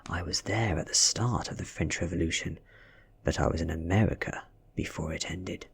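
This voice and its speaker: A man with a British accent softly reads a story in ASMR style, breathy and often slipping into a whisper, with measured, dramatic delivery. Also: deep voice. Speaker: ASMR reader. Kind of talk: soft-spoken ASMR audiobook reading.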